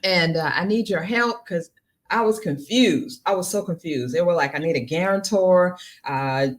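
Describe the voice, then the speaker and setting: A woman with a Southern American accent hosting a podcast interview, chatty and animated with a folksy way of talking. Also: fairly low pitch, normal speed. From Southern United States. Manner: chatty and animated, folksy with y'all, laughs easily. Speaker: podcast host. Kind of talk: casual interview chat.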